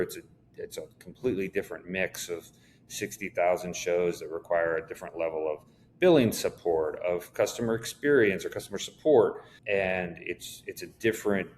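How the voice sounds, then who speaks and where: Really deep voiced exec guy explaining his business in an interview, some faint noise behind him. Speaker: interview guest, company executive.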